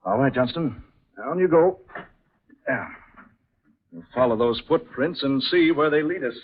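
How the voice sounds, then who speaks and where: A man's voice: medium pitch, expressive, unhurried, breathy edge. An actor playing a clipped, commanding leading man in an old-time radio drama. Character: brisk, skeptical leading man, commanding yet tender. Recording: muffled, tinny vintage radio recording.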